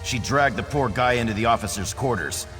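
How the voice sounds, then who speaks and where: An actor voicing a disgruntled, gossiping common soldier in a video game. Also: male voice, mid-range voice, a little fast, a bit bright, faint music behind, natural voice. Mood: grumbling. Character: disgruntled gossiping male rank-and-file soldier. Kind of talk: video game character dialogue.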